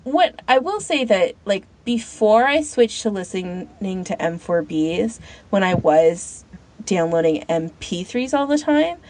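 A woman's voice: mid-range voice, sing-song, a little slow, darkish tone, low-quality recording. A woman co-hosting a podcast in casual chat, rambling informally as she thinks aloud. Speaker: podcast co-host.

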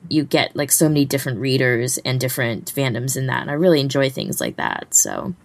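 A woman's voice: very deep voice, very animated, fairly quick, gravelly, no room sound. A woman co-hosting a podcast in casual chat, with a hesitant, hedging way of putting things. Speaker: podcast co-host.

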